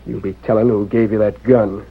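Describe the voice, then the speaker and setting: A mercenary frontier crook, husky and low, talking sardonic cowboy slang with an American accent. It sounds like a thin, tinny old radio drama. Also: male voice, little pitch movement, a little fast. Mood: bossy. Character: sly, mercenary western crook, hard bargainer. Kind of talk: old-time radio western acting.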